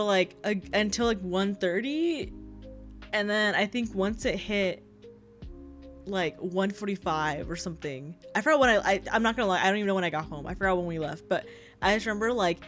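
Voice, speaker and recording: Giggly congested young woman streamer. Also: mid-range voice. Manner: rambling, giggly, chatty with viewers. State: tired, low energy. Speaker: VTuber streamer. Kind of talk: casual livestream chat.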